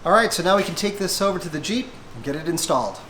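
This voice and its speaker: A man's voice: sits high, expressive, quick pace, over loud music. A man presenting a methodical, step-by-step hands-on installation tutorial for a product he made. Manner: methodical, explanatory. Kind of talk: step-by-step hands-on how-to demonstration.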